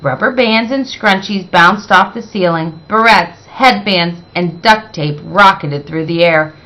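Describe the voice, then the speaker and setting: Female picture-book narrator, slow and deliberate, bright tone, expressive with dramatic emphasis. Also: fairly low pitch, poor audio. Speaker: read-aloud storyteller.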